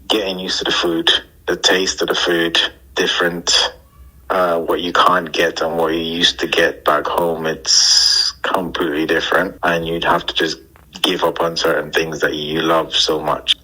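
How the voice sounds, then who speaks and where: A man, answering interview questions thoughtfully and pausing to find his words. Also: low voice, bright, forceful tone, poor audio. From Guyana. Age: 30s. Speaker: interview guest.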